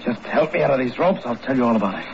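A muffled, slightly hissy 1950s radio recording features a man acting a crooked innkeeper. He talks quickly in clipped, blustery bursts, like a double-dealer protesting his innocence. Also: medium pitch, expressive, natural voice. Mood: indignant.

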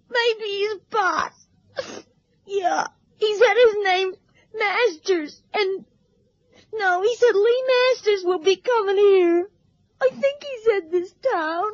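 A woman's voice: very high-pitched, no echo. An actress playing a frightened, tearful young woman who rambles and hesitates, in a 1960s radio drama. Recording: dull old radio broadcast.

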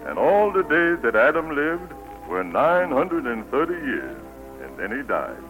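A kindly old southern preacher, voice swings up and down, raspy, music behind, old radio play. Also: male voice, fairly high pitch, huge pitch swings, unhurried, rough recording. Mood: folksy, patient. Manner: folksy, patient storyteller. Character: kindly elderly Southern preacher teaching Sunday school. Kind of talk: 1940s radio acting.

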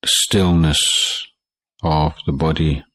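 Very deep, slow man guiding meditation. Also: no echo, low-quality recording. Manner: calm, measured, with long pauses between short phrases. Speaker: Buddhist monk meditation teacher. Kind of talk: guided meditation instruction.

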